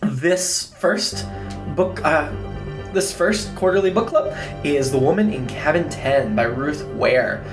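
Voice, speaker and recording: A woman's voice: deep voice, expressive, slow. A woman hosting a podcast, reading an introduction and promo. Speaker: podcast host. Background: guitar music.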